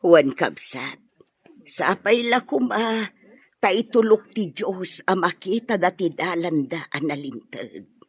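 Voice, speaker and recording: A woman's voice: lowish voice, lively intonation, takes it slowly, husky, full character voice. An actress playing a worried, pious, middle-aged Filipino mother in an Ilocano radio drama, speaking in a sighing, lamenting way. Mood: resigned. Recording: muffled, roomy radio drama audio.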